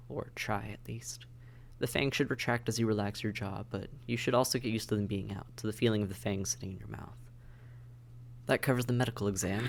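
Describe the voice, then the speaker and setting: Man playing a gravelly, businesslike vampire caseworker. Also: fairly low pitch, a little hiss or hum. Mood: patient, instructive. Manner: matter-of-fact, bureaucratic, dryly hesitant. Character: weary, businesslike male vampire intake officer mentoring newcomers. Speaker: medical examiner and caseworker. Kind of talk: scripted audio roleplay, spoken to the listener.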